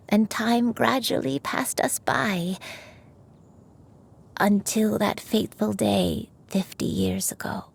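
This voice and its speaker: A woman's voice: medium pitch, gravelly, a little mellow, a little hiss or hum. An actress voicing an elderly grandmother who is secretly a crane spirit, speaking tenderly and with remorse. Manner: tender, sorrowful, confessional. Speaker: game character. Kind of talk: dubbed game dialogue.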